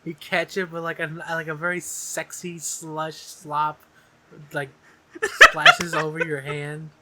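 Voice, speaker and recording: A man's voice: a little high, big pitch swings, slow, over a noisy crowd. A man co-hosting a podcast, chatting casually and comically with insistent, profane riffing. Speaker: podcast co-host. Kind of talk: casual comedic banter.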